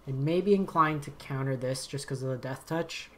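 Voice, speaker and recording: A man, slightly raspy from a long day of talking, calmly commentating his card game as he plays, thinking aloud and muttering asides. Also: mid-range voice, moderate pace. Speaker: game streamer. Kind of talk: casual gameplay commentary. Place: home streaming setup.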